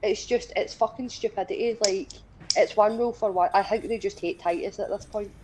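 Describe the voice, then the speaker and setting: A woman's voice: mid-range voice, steady tone, fairly quick. Young Scottish woman, noisy stream audio. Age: 20s. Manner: blunt, opinionated, sweary. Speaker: podcast and stream co-host. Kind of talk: casual chat during a gaming stream.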